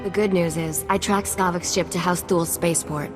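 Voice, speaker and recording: An actress playing a shrewd, composed underworld fixer in a video game, wry and businesslike. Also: female voice, fairly low pitch, fairly even intonation, normal speed, music in the background, natural voice. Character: cool, shrewd female underworld fixer briefing her captain. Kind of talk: video game cutscene acting.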